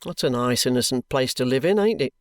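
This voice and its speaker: A reader voicing a stern, unyielding police constable who speaks slowly and firmly. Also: medium pitch, expressive, no room sound, clean studio sound, close to an everyday voice. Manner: firm, slow and weighty.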